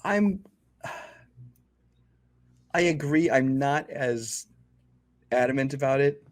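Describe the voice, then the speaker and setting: A man with a medium-pitched voice talks casually on a movie podcast. His manner is easygoing and measured, and he steers the conversation from one film to the next. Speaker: podcast co-host.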